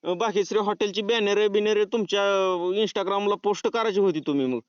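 Man, rural Marathwada accent, smooth clear voice, indignant, argumentative, dry but dull phone call recording. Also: high-pitched, moderate pace, dry recording. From Tuljapur area, Maharashtra, India.

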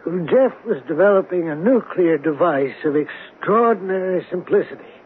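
An anxious, evasive businessman in a vintage radio play. His voice sits fairly high and sounds hesitant and defensive, on a tinny recording with some noise. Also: animated, normal speed. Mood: worried, defensive. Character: flustered, evasive male company president. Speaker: actor in a radio mystery drama. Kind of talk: 1970s radio drama acting.

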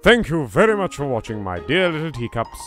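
A man's voice: fairly high pitch, very animated, brisk, a bit bright, soft background music. A man with an English accent giving hammy, bantering, mock-posh commentary as a gaming host. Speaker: let's play host.

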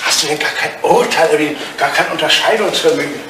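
An actor playing an ageing English lord in a retro German radio play, a vain, jealous suitor with a droll, drily teasing, theatrical manner. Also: male voice, a little high, a little fast, close to an everyday voice. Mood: indignant, mocking. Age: older. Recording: distant, roomy, noisy old radio recording.

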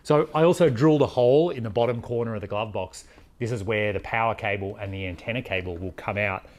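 A man's voice: lowish voice, fast talker. A man in his forties talking casually to camera like a DIY vlogger, thinking aloud as he explains things in a conversational way. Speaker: vlogger presenting a DIY vehicle install.

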